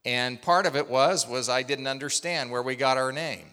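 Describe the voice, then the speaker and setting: A man's voice: medium pitch, moderate pace, pushed and bright. A man from Oklahoma preaching a sermon as pastor, telling a story and asking the congregation questions. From Oklahoma, USA. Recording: long hall reverb.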